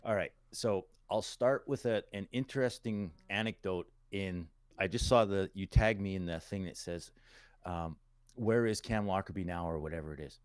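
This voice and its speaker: A man's voice: deep voice, moderate pace, no room sound. A middle-aged man from Calgary, a musician guest, telling stories in a folksy, plain-spoken way. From Calgary, Alberta, Canada. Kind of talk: casual interview storytelling.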